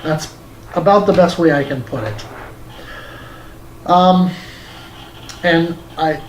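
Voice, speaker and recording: Higher-voiced man narrating a game review. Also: slow, slight background noise, poor audio.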